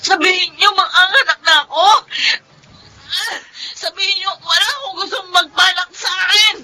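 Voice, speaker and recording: A woman's voice: extremely high pitch, fairly even intonation, moderate pace, sharp tone, raised voice, heavily acted, rough recording. A woman playing a stubborn, demanding daughter in labour, crying and straining in pain in a radio drama. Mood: pained, insistent.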